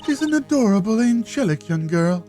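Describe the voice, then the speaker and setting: A prim, haughty middle-aged matron from a video game, played by a woman. She speaks with stern, stately formality and archaic courtesy, her pitch rising and falling with each pronouncement. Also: very animated, normal speed, dark tone, soft background music.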